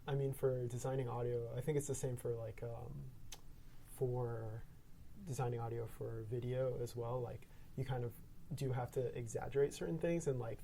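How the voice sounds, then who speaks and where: A man's voice: mid-range voice, vocal fry, warm tone, slight background noise. A man guest on a podcast, speaking in a hesitant, thoughtful way with many fillers. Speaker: sound designer guest. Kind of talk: casual podcast chat.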